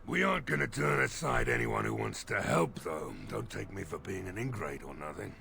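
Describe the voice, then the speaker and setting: An actor playing a weary, worried village mayor in a fantasy game, with game music behind him. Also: male voice, fairly low pitch, lively intonation, normal speed, vocal fry, bright, forceful tone, exaggerated voice. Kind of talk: video game voice acting.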